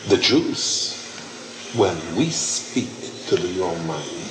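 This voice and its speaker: Low-voiced male teacher for a casual q&a, emphatic, repeats himself, noisy room. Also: big pitch swings, takes it slowly. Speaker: teacher answering questions. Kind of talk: informal Q&A lecture.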